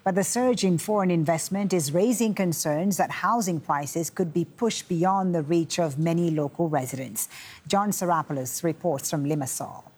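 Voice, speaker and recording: Woman, news anchor, low-pitched, husky, scripted bulletin delivery, studio. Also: moderate pace, a little mellow. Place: broadcast studio.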